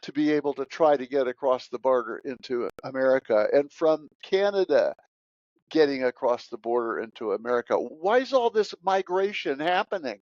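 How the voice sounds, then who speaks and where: A man's voice: mid-range voice, lively intonation, moderate pace, darkish tone, no echo. A man who is a podcast guest, speaking in an emphatic, preachy way and repeating his key words. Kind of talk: interview talk. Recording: dry, dull lo-fi audio.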